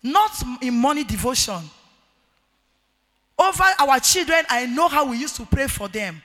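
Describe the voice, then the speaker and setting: A middle-aged woman with a Nigerian accent and a loud, forceful voice, preaching a marriage sermon emphatically and repeating key phrases. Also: sits high, animated, moderate pace. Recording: echoey hall with long reverb.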